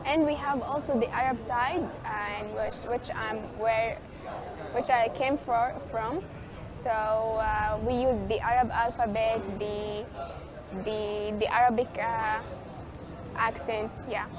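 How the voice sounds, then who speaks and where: Algerian student, woman, slightly high voice, halting answers, crowd noise behind. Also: steady tone. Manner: hesitant, many fillers and restarts. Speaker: interviewee, international student. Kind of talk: casual spoken answers.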